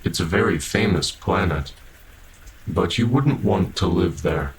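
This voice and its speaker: A man playing a kindly, centuries-old half-machine guardian in a fan audio drama, speaking in a halting, careful, softly formal way. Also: sits low, sing-song, vocal fry, a little hiss or hum, heavily acted, poor audio. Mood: storytelling.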